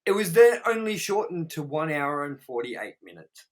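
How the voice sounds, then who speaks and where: A man's voice: a little high, big pitch swings, moderate pace. A man hosting a casual, sweary movie review show, talking in a sarcastic, exasperated way.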